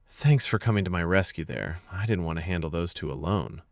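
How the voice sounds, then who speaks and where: Man voicing a gentle, tentative young woman student. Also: low voice, lively intonation, brisk, no echo, clean studio sound. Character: young woman drama student, nervous, considerate. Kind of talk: acted audiobook dialogue.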